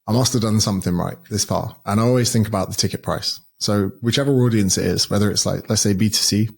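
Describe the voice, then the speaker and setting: Guy guest on a podcast, kinda low and husky, swears a lot, super blunt. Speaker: guest, educator and author. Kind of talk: casual podcast conversation.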